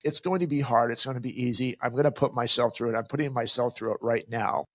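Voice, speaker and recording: A man's voice: mid-range voice, quick pace, fairly bright tone, no echo, studio quality. A middle-aged man co-hosting a podcast, giving advice in an emphatic way and acting out the inner voices he quotes. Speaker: podcast co-host. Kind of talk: casual advice chat.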